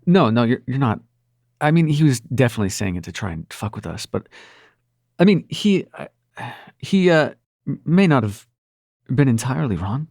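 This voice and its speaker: Man acting gravelly, flustered, smitten nightmare prince. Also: medium pitch, very animated, a little mellow, dry recording, studio quality. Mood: flustered, confessing. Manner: halting, stammering, sweary and sardonic. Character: male supernatural prince of nightmares, brash, flustered, smitten lover. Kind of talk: intimate audio roleplay acting.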